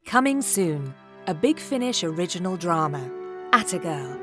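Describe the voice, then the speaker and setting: A woman's voice: lowish voice, big pitch swings, normal speed, music in the background. A woman reading a scripted promotional voice-over as a trailer announcer.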